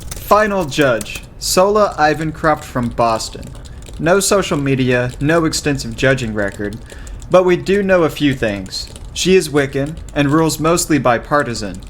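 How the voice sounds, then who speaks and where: A studious teenage boy played by a man briefs in a measured, slightly high voice. It is radio drama acting with a noisy, typing-filled background. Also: animated. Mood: serious, factual. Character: serious, studious teenage boy debate-team researcher.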